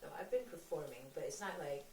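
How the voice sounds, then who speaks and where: A low-pitched woman from Jamaica chats easily about her music, relaxed and now and then dropping to a soft near-whisper. She sounds set back from the microphone in an echoey room. A noticeable hiss of background noise runs under her voice. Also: fast. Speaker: singer being interviewed. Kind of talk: casual interview chat. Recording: roomy, distant mic, noisy background.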